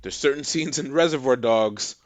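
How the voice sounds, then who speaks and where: A man's voice: mid-range voice, normal speed, no room sound. A man from Massachusetts, a podcast guest, rambling with many restarts in casual chat.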